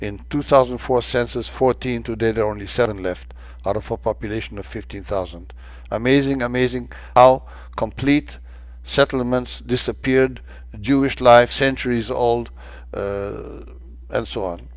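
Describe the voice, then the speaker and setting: An older man from Romania giving a slide-show lecture about his travels, pausing often with fillers and restarting his sentences. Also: mid-range voice, dry recording. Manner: halting, many filler sounds and restarts. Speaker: presenter of a travel talk.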